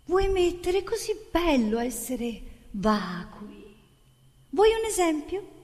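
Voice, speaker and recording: A woman on stage playing a mocking, condescending cynic with animated, exaggerated sarcasm. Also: sits high, slow, big-room sound, voice pushed up, exaggerated voice. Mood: sarcastic. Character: mocking, condescending cynic needling an idealistic performer. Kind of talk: theatrical monologue in dialogue.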